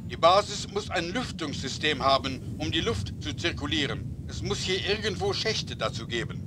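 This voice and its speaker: A man plays a calm commanding officer who briefs his agent in a measured, instructive way. His voice sits somewhat high for a man, with clear noise running underneath. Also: lightly acted. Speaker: mission commander. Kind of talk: German game dub. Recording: game audio with background noise.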